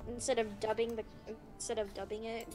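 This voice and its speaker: A playful woman chats on a group stream with a fairly high voice, asking questions and reading out comments. Music plays clearly in the background behind her. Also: little pitch movement, fairly quick. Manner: chatty, playful, asks questions. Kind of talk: casual live stream chat.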